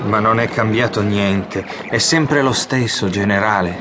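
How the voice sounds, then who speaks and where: A man's voice: lowish voice, a little slow, close to an everyday voice. An actor playing a disillusioned poor villager in an audio drama, agitated and accusing as he challenges his leader. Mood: dismayed. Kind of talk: audiobook radio drama acting. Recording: noisy outdoor ambience behind.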